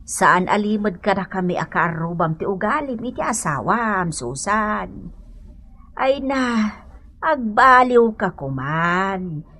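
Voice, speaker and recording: A woman's voice: mid-range voice, animated, slow, bright, forceful tone, heavily acted. An actress with an Ilocano accent playing an older woman earnestly counselling a young wife in a radio drama, in an emphatic, motherly, admonishing manner.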